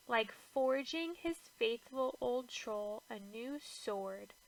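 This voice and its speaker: A woman with a bright, fairly high voice reads a children's storybook aloud. She takes her time, shaping the rhyming verse with a measured rhythm and expressive phrasing. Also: poor audio. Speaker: storybook reader. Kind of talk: scripted read-aloud of a rhyming children's picture book.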